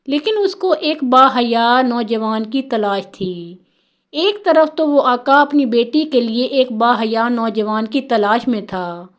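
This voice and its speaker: A woman narrating a scripted moral tale as a storyteller. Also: fairly high pitch.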